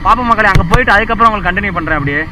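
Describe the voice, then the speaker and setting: Fast-talking young man on a motorbike. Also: high voice. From India. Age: young adult. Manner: chatty, addresses viewers constantly, rambling repetitions. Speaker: motovlogger. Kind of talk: casual chat to viewers while riding. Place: outdoors on a moving motorbike, city streets. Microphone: helmet or chest action camera. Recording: traffic and wind noise.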